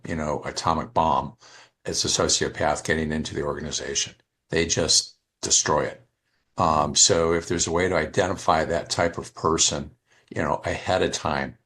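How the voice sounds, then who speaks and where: A man's voice: very deep voice, fairly even intonation, normal speed, fairly bright tone. A man making casual remarks and asking questions on an online group call, often restarting his sentences. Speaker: participant asking questions and commenting. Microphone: online call, off camera.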